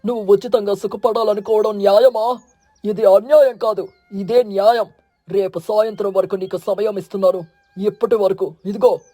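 A man playing a stern, righteous eagle who leads a village of birds in an animated moral story, speaking in a firm, commanding, scolding way. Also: high voice, normal speed, no echo. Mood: stern, authoritative.

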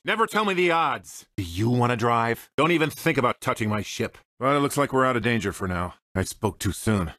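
Cocky american space smuggler for a game, dry wisecracks, really animated pitch, studio dry sound. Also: male voice, no echo, exaggerated voice. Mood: urgent. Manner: dry, sardonic, swaggering. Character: cocky, wisecracking roguish space smuggler and pilot, adult man. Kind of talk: video game character voice clips.

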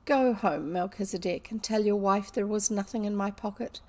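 A woman voicing a bright, imaginative schoolgirl in a storybook, earnest and deliberate, with old-fashioned kindness. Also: medium pitch, natural voice. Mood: weary, gentle.

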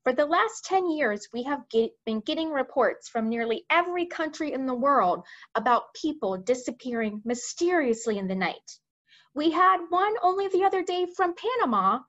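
A woman reads as a storybook army chief, a man full of bluster and impatience who snaps and protests. The pitch is raised and very lively, and the recording is a little dull. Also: normal speed. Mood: urgent report. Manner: indignant, snapping, exclaiming.

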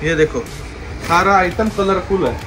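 A young man giving a repetitive, pushy sales pitch for wholesale coats in a big indoor warehouse, filmed on a handheld phone. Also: a little slow, background noise. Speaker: wholesale clothing seller. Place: large indoor clothing warehouse.

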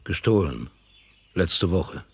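An actor playing a powerful, scheming old father in a German radio drama, husky and slow, with a commanding, insinuating manner. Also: low-pitched, animated, no echo, faint music behind, exaggerated voice. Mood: grave.

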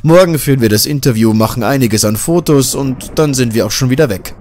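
A man's voice: mid-range voice, brisk, a little mellow, slight background noise, voice pushed up, lightly acted. A man voicing a polite, easygoing male journalist in a horror audiobook. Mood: polite, upbeat.

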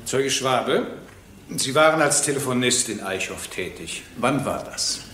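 An actor playing a stern, impatient presiding judge in a radio courtroom drama, formal and probing. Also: male voice, mid-range voice, expressive, unhurried, a bit bright, a little hiss or hum. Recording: echoey courtroom-like room.

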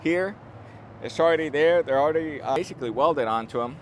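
A man's voice: on the high side, a little fast. A man in his thirties presenting an unscripted walkthrough of a building site, explaining informally and often rephrasing himself. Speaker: construction company presenter giving a site tour. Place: outdoors on an open steel-frame construction site. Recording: clear outdoor site and vehicle noise.

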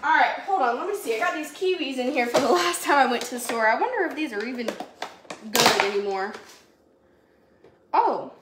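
A woman's voice: sits high, expressive, a little fast, long pauses. A young woman, chatting to viewers on a live grocery haul from her home kitchen, rambling and giggly. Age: young adult. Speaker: livestream host. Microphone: phone camera at arm's length. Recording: echoey kitchen with faint noise.

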